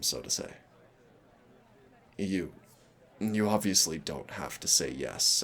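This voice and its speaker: A man playing a shy, gentle, nervous young man in an intimate audio roleplay, hesitant, rambling and self-deprecating. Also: a bass voice, gravelly, exaggerated voice. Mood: nervous, apologetic.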